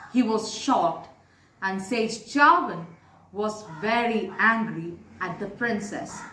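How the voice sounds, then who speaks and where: A young woman with an Indian accent narrates a moral tale, earnestly explaining and addressing her listeners directly. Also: medium pitch. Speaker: storyteller. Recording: echoey room with faint background noise.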